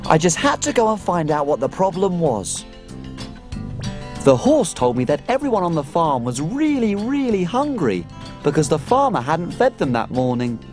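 A performer voicing a small, excitable cook puppet on a children's TV show, telling a story in a sing-song, exclamatory way. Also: mid-range voice.

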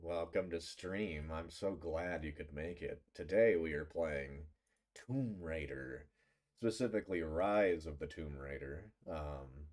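A man's voice: very deep voice, slow pace, darkish tone. A man chatting casually on a livestream in a playful, teasing manner, close on the mic. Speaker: streamer and voice actor.

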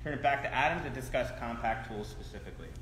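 A man's voice: medium pitch, fast, fairly bright tone. A man in his thirties presenting a product live and explaining its features. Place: trade show booth in a large indoor hall. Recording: roomy echoing hall with faint noise.

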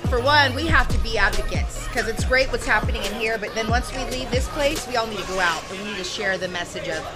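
Middle-aged American woman over loud music. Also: a little high, fast talker. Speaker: talk show host. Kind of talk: red-carpet interview reply. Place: red carpet press wall at a gala.

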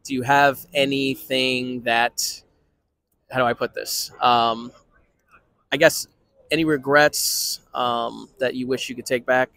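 A man in his thirties interviewing people on a city street with a handheld mic, quick-talking and repeating short affirmations. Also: mid-range voice, fairly even intonation, pushed and bright, dry recording. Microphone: handheld microphone.

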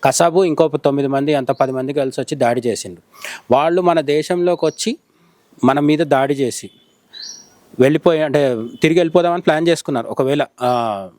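Middle-aged male journalist, Telangana accent, rapid explanatory analysis, dry close sound. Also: a little high, darkish tone, dry recording. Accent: Telangana Telugu. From India. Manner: explanatory, poses questions and answers them. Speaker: journalist interviewed as commentator. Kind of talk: conversational news analysis.

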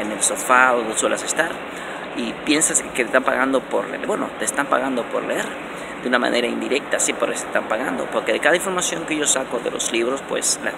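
Man in his 40s, self-help vlogger, gravelly mid-range voice, emphatic and repetitive, phone mic, station hum behind. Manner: animated and repetitive, many restarts, stressing words with rising pitch. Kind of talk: casual motivational vlog. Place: indoor underground transit station, concrete walls. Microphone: phone camera held close at arm's length. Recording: noisy phone recording in a station.

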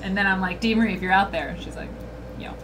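A chatty woman hosts a casual livestream from a small room, talking straight to her viewers and reading their comments out loud. A webcam picks her up, with clear noise underneath her voice. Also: medium pitch, fast. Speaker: host.

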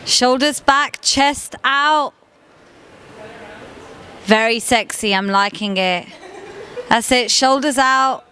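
Slow, upbeat young woman presenting. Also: fairly high pitch, sharp tone. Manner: upbeat, cheering others on. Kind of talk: presenting a segment. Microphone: handheld mic.

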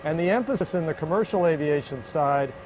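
Higher-pitched older American man explaining. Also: lively intonation, fairly quick, darkish tone, a little hiss or hum. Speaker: aviation official and pilot. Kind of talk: explanatory talk to camera. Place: inside an aircraft cabin. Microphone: lapel.

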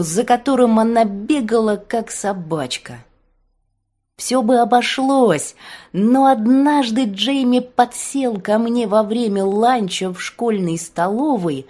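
Slow, husky woman narrating an audiobook. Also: a little high, darkish tone. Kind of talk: dramatic scripted first-person narration.

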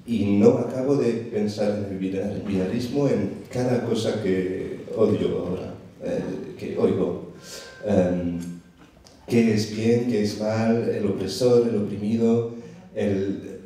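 A man's voice: fairly low pitch, fairly even intonation, slow pace, warm tone. A man in his thirties speaking Spanish as a second language, searching for words with many fillers at an open forum, holding a handheld mic. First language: not Spanish. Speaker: audience member. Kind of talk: hesitant open-forum remarks. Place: dark theatre auditorium, seated in audience. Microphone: handheld microphone. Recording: echoey hall, voice from far off.

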